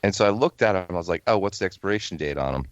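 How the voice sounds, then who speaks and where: A man's voice: deep voice, expressive, racing, no room sound, muffled recording. A male podcast co-host who tells rambling stories in casual chat. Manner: rambling storyteller.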